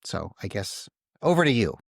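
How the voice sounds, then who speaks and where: A man with a fairly low voice hosts a conversational interview, recorded dry. He builds his questions thoughtfully and a little tentatively. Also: sing-song, normal speed, gravelly, no echo. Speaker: interviewer, host.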